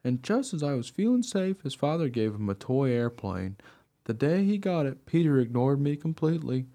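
A man's voice: a little high, mellow. A man playing an eager, naive mongrel dog who tells his own story in a radio play. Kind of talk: first-person radio play narration.

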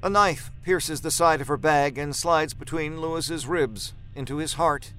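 A man's voice: a little high, measured pace, pushed and bright, soft background music. A man narrating a scripted horror story as the narrator of an audio drama.

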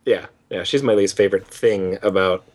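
A man's voice: low voice, fairly even intonation, moderate pace, a little mellow. A young man with a Canadian accent co-hosting a casual gaming podcast, laid-back and rambling. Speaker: podcast co-host. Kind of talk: casual chat.

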